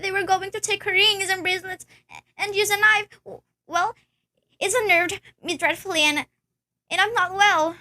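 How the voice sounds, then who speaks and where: A woman's voice: very high voice, steady tone, normal speed, bright, forceful tone. A young woman acting as an anxious, demanding, easily exasperated married woman in an amateur radio play, insistent and flustered. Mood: flustered, nervous.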